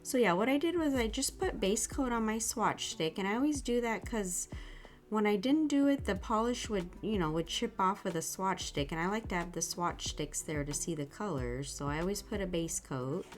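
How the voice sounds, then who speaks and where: A woman hosting her own nail art channel, chatting casually through a product review, a little sick with an irritated throat, soft and gushing. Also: medium pitch, animated, moderate pace.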